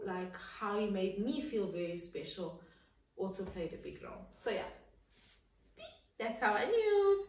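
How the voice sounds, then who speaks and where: A woman in her twenties answering viewer questions in a chatty, giggly vlog with lots of fillers. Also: medium pitch. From South Africa. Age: 20s. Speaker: vlogger. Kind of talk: casual Q and A vlog. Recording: echoey room, distant mic.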